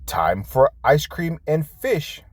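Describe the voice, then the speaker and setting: A man's voice: a little high, big pitch swings, slow pace, no echo, pitched above the performer's own voice, full character voice. A man voicing an eager, earnest young cat boy in a children's storybook. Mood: eager, willing.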